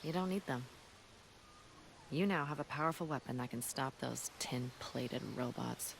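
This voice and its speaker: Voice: medium pitch, moderate pace, vocal fry, a bit bright, slight background noise. Earnest, battle-hardened future resistance fighter. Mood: grave, resolute. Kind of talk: video game cutscene acting.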